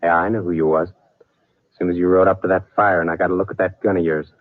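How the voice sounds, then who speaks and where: An American actor playing a grim, vengeful cowboy drifter in a 1950s radio western, terse and deadpan with rustic speech. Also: male voice, low-pitched, quick pace, no echo, phone-quality sound, lightly acted. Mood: coldly vengeful. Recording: thin, dry old radio recording.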